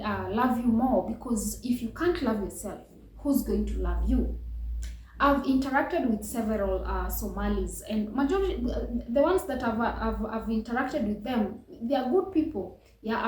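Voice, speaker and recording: A woman's voice: on the high side, dark tone, roomy sound, rough recording. A woman hosting a reaction channel, talking earnestly and reflectively with many fillers and restarts. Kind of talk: casual reaction commentary.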